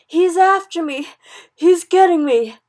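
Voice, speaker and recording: A woman's voice: very high-pitched, expressive, takes it slowly, a little mellow, pitched above the performer's own voice, exaggerated voice. A woman voicing a huge brutish male storybook giant, screeching and begging in frantic, broken grammar. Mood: screaming panic. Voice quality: roaring, bellowing, screeching. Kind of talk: storybook character voice.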